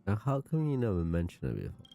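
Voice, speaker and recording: A man's voice: deep voice, huge pitch swings, fast talker, creaky, warm tone. A man streaming a game, giving short, casual, laid-back muttered replies.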